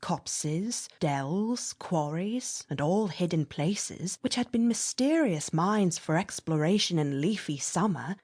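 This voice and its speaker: A woman narrating classic literary fiction as a dramatic audiobook reading, expressive and measured, with theatrical emphasis. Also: on the low side, breathy edge.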